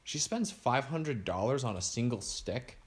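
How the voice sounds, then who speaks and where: A man's voice: mid-range voice, animated, measured pace, natural voice. A man reading aloud, voicing a dry, matter-of-fact young woman on a mystery team.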